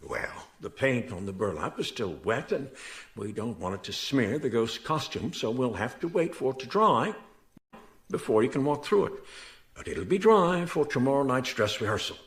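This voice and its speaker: An actor playing a bossy, theatrical and excitable stage director in a radio drama. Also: male voice, medium pitch, moderate pace, close to an everyday voice. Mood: explanatory.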